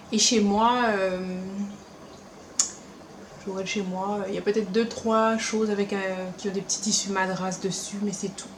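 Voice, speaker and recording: A young woman whose French carries a Caribbean lilt blended with Paris speech. She chats at a middle pitch, quick and animated with playful asides. The room is echoey and a faint hum sits in the background. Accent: French Caribbean, mixed with Paris French. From France, born in Paris, raised also in Martinique. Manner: chatty, animated, quick asides and acted-out quotes. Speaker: beauty vlogger. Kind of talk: casual chat to camera. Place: room at home.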